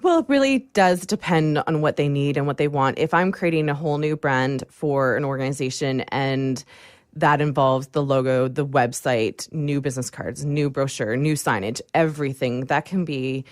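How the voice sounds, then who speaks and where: A woman's voice: low-pitched, normal speed, no room sound, clean studio sound. A woman speaking as an interview guest, explaining things in a chatty, conversational way. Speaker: guest, branding agency owner.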